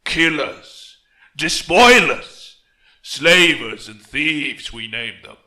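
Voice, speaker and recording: A man's voice: fairly high pitch, lively intonation, very slow, pushed and bright, full character voice. A man playing a haughty, embittered elf with a disdainful, formal delivery in a fantasy monologue. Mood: bitter contempt. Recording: echoey room.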